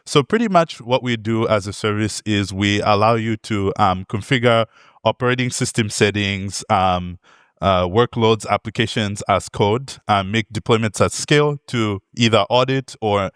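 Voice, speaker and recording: A man's voice: fairly low pitch, normal speed, a bit bright, clean tone, no echo, studio quality. A young man from Zambia giving a technical conference talk, friendly, with many ums and uhs and light jokes. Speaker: conference speaker, product manager. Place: conference hall.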